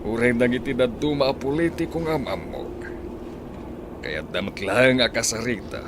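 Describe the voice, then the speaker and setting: An actor with an Ilocano accent playing a principled, weary but determined judge in a radio drama, earnest and deliberate. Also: male voice, medium pitch, animated, background noise, natural voice.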